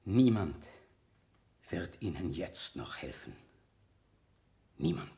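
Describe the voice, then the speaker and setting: A man's voice: low-pitched, big pitch swings, slow pace, creaky, a little mellow, roomy sound, full character voice. A man acting a relentless, scornful public prosecutor in a 1970 German radio drama, with theatrical, insistent rhetoric. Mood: thundering accusation. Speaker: actor in a radio play.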